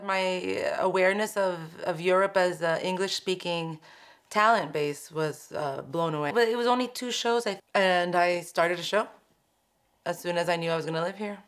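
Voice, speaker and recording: A woman's voice: lowish voice, moderate pace. A woman who runs an open mic, talking animatedly in a documentary interview.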